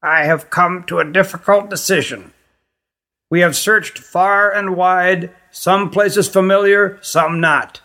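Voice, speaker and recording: A man's voice: high voice, fairly even intonation, sharp tone, pitched above the performer's own voice, exaggerated voice. Man voicing a stern, reedy old fantasy queen. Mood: stern, resolute. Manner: formal, deliberate phrasing. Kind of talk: fantasy audiobook character voice.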